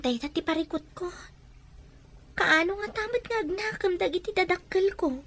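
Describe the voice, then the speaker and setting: A woman's voice: very high-pitched, moderate pace, fairly bright tone. A woman with an Ilocano accent playing an earnest, aggrieved working daughter in an audio drama. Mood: subdued, troubled. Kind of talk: Ilocano radio-style drama acting.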